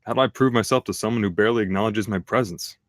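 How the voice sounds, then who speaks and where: A man's voice: fairly low pitch, very fast, no room sound, studio quality, lightly acted. A man playing an earnest, nervous, uncertain foot soldier at a screenplay table read. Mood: earnest, troubled.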